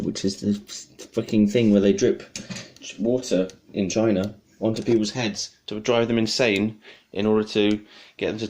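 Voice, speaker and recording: A man's voice: fairly low pitch, dark tone. A man, a musician rambling through a comic monologue to camera, swearing and laughing at his own jokes. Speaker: musician and video maker.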